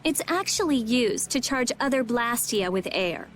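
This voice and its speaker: A woman's voice: a little high, animated, normal speed, soft background music, lightly acted. A woman playing a brash teenage girl mage in a video game, blunt and sarcastic, talking like a know-it-all. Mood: matter-of-fact. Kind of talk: English video game voice acting.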